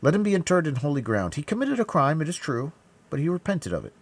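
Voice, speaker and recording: A man's voice: on the high side, sing-song, fast, pitched above the performer's own voice. A man voicing an impassioned young swordsman hero, earnest and commanding, with emphatic, formal delivery in an audiobook adventure. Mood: solemn.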